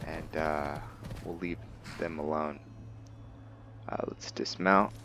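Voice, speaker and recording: A man giving casual let's-play commentary and roleplay narration in a halting, musing way, often correcting himself. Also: very deep voice, fairly even intonation, a bit bright, a little hiss or hum. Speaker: host of a roleplay gaming series.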